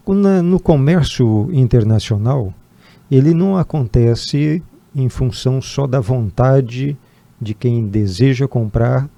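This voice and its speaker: Mellow-voiced male interviewer, dry sound. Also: medium pitch, creaky, no room sound. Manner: brief affirming murmurs, longer formal questions. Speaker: interviewer, host. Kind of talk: interview questions.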